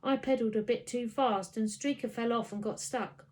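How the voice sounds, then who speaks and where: A woman's voice: on the high side, fairly even intonation, moderate pace, a little mellow, voice pushed up, close to an everyday voice. Confident schoolgirl for a children's story, read by an adult woman; frank, insistent, matter-of-fact. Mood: bold, insistent. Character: bold, insistent, matter-of-fact young girl.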